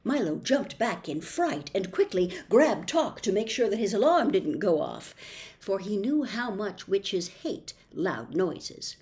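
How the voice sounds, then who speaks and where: Slow, expressive woman reading a storybook. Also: mid-range voice. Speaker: audiobook reader, host. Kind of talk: storybook narration.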